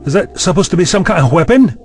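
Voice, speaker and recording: Casting: male actor as sceptical, bossy official for audio drama, high-pitched, blunt, faint music underneath. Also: animated, fast. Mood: defiant. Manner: blunt, demanding. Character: indignant male chancellor, blunt, sceptical authority figure.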